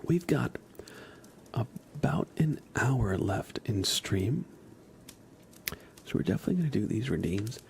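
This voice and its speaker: A man hosting an ASMR livestream, speaking softly and breathily in a gentle, soothing, unhurried way, often slipping into a whisper. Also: medium pitch, lively intonation, gravelly, slight background noise. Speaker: livestream host. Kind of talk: ASMR livestream, chatting to viewers.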